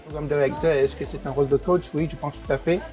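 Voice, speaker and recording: A man's voice: on the high side, steady tone, fast, warm tone, faint music behind. Hesitant man, teacher in an interview. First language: English. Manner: hesitant, many fillers and restarts. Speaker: English teacher and program director. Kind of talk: interview answers.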